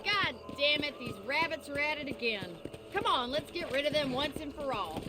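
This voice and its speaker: A woman's voice: sits high, animated, very slow, full character voice. An actress voicing a frank, teasing young ranch woman in a video game, with a dry, plainspoken manner. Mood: fired up. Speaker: game character. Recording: game audio under loud soundtrack music. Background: loud game music, hoofbeats.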